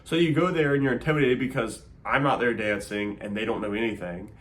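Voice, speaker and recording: A man's voice: mid-range voice, steady tone, fast, a bit bright. A man in his thirties chatting casually with his partner, rambling with many fillers and restarts. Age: 30s. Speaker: co-host of couple Q&A video. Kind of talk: casual chat.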